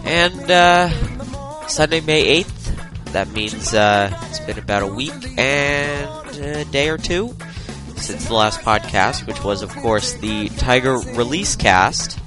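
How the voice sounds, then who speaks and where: A man's voice: medium pitch, animated, takes it slowly, music in the background. A man with a head cold hosting a solo tech podcast, talking loosely with lots of uhs. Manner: rambling, many filler uhs. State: congested from a cold. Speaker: podcast host.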